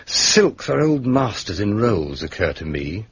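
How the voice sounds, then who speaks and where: A muffled radio-drama recording of a courteous, shrewd English gentleman sleuth. His upper-class voice is lowish and swings expressively in pitch. He is precise, polished and drily wry. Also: big pitch swings, a little slow, husky, no echo, exaggerated voice. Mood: brisk, methodical. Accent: British, upper-class English. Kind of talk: British radio detective drama acting.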